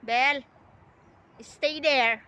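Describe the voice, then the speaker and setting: A woman's voice: high voice, steady tone, very slow, sharp tone, muffled recording. A woman with a Filipino accent chatting casually outdoors as she films a home video. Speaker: vlogger filming pets and wildlife at home. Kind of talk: casual chat to camera. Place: outdoors in a yard. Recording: clear background noise, outdoor ambience.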